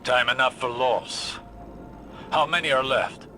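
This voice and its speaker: An actor playing a grave, world-weary older mentor in a video game, speaking in a measured, philosophical way. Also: male voice, mid-range voice, steady tone, sharp tone, slight background noise. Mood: solemn, rueful. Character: grave, contemplative, world-weary male scholar-warrior mentor. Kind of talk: video game dialogue acting.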